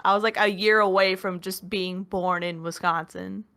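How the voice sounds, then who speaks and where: A woman's voice: mid-range voice, steady tone, normal speed, sharp tone, clear, resonant tone. A woman in her early twenties, a podcast co-host, chatting casually with lots of filler words and self-deprecating asides. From Minnesota. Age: 20s. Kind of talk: casual chat.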